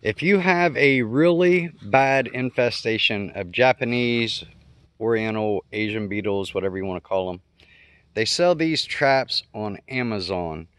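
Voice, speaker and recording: A man's voice: fairly low pitch, a little slow, fairly bright tone, smooth, dry recording. Man casually explaining outdoors, dry sound. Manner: conversational, thinking aloud and self-correcting. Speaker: homestead vlogger. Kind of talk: casual unscripted explainer while walking around the yard. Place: outdoors in a garden yard.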